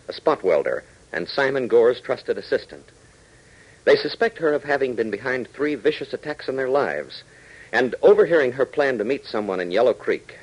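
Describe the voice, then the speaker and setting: A man's voice: medium pitch, slightly rough, lo-fi sound. An American man announcing and narrating a 1940s radio adventure serial, with scripted, emphatic, dramatic delivery. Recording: old 1940s radio broadcast.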